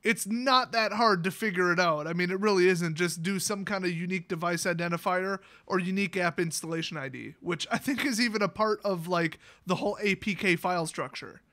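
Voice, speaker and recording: A man's voice: high voice, fairly quick, hardly any pauses, bright, forceful tone. An American man telling stories in a casual, ranting style with a sarcastic, exasperated manner. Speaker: YouTube storyteller and gamer.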